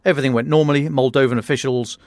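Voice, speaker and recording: A man's voice: medium pitch, steady tone, racing, no echo. A British man presenting a news briefing as a podcast host, explaining informally with wry conversational asides. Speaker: podcast host and defence journalist.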